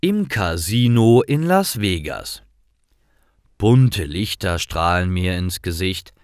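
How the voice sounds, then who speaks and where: A man narrating scripted stories for language learners, reading simple sentences clearly. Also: deep voice, sing-song, takes it slowly, no echo, clean studio sound.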